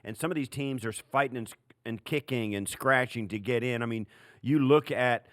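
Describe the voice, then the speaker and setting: A man's voice: mid-range voice, moderate pace, no echo, studio quality. A man co-hosting a sports podcast, giving conversational football commentary in a colloquial way with emphatic repetition. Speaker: sports podcast co-host, analyst.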